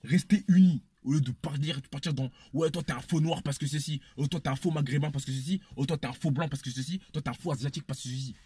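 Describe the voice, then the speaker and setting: Husky-voiced man arguing his point. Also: a little high, fast, hardly any pauses, dark tone. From Congolese descent. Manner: emphatic, repeats phrases for effect. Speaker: panel speaker. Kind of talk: opinionated casual debate.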